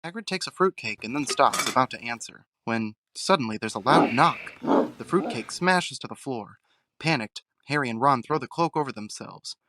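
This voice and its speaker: A man's voice: mid-range voice, expressive, moderate pace, background noise. A man reading scripted narration as the narrator of an audio drama.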